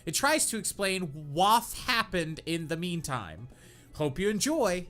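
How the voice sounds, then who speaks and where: Young male podcast host, animated mock-dramatic reader, bright tone. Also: sits high, measured pace, faint music behind. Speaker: podcast co-host reading fan fiction. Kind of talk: comedic podcast reading.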